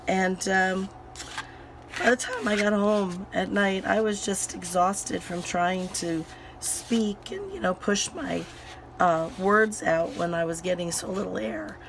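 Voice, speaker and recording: A woman hosting a crafting channel and chatting casually while she works. Her voice is hoarse and still recovering, and she rambles with many fillers and restarts. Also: mid-range voice, takes it slowly, a crowd in the background, rough recording. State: hoarse, voice recovering from sinus infection.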